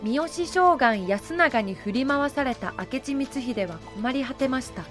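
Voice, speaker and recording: A woman's voice: high voice, animated, a little slow, fairly bright tone, soft background music. A woman narrator delivering scripted, dramatic historical storytelling for an audio drama.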